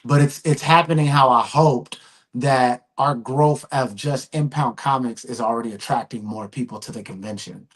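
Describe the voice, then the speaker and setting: A man who runs a comic publisher and convention, chatting casually in a relaxed, rambling way with many fillers. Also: medium pitch, normal speed, poor audio. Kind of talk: casual podcast chat.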